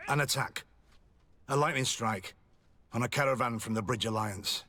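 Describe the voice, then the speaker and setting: An actor playing a wary, dutiful military lieutenant in a video game, husky, grave and formal, speaking guardedly. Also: male voice, mid-range voice, normal speed, pushed and bright. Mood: guarded, uneasy. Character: wary military lieutenant, dutiful male informant confiding secrets. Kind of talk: video game dialogue acting.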